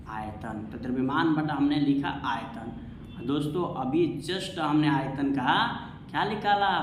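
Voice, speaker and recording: A man teaching an exam-prep science lesson, repeating himself and asking questions that he answers himself. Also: medium pitch. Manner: repetitive, asks and answers own questions. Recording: echoey room, faint background noise.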